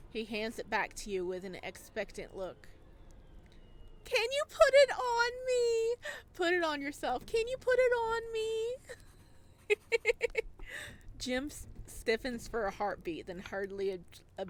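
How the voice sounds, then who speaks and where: A woman reading visual novel narration aloud as a gaming host, with an expressive delivery that can break into reactions. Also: on the high side, slight background noise.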